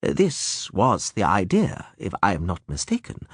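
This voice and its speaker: A man playing a calm, coldly ironic barber surgeon in a fantasy audiobook, speaking in a measured, mocking way. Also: low voice, husky, no room sound, studio quality, heavily acted. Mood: cool irony.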